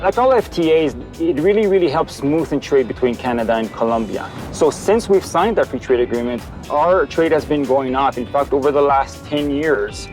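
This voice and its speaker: A man working as a trade official, speaking upbeat and a bit halting with filler sounds. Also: mid-range voice, a little mellow. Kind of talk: interview and welcome remarks. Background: background music.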